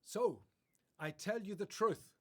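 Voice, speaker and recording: An actor playing a calm, authoritative male teacher preaching in a radio play, measured and assured. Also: high-pitched, exaggerated voice. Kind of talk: radio play acting, sermon delivery.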